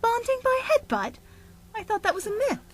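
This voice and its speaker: A woman playing a spirited, defiant young time lady artist with a pointed, expressive delivery in an audio drama. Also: very high-pitched, moderate pace. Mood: startled. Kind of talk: audio drama character dialogue. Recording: music behind the voice.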